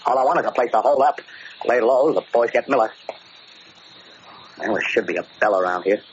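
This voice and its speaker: A man's voice: medium pitch, fairly quick, natural voice. A man playing a short-tempered, paranoid American gangster boss in 1940s radio drama style, curt and sarcastic, barking orders. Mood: grumpy. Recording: muffled, noisy old radio broadcast.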